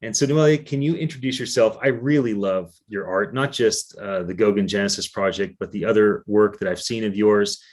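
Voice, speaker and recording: A man's voice: fairly low pitch, brisk, hardly any pauses. A man hosting a live-streamed interview, friendly and effusive with his guests. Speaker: event co-producer and host.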